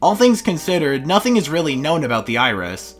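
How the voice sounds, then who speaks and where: A man delivers scripted video essay narration in a fairly high voice with very animated intonation. His wording is informal and opinionated. Faint music plays behind him. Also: brisk.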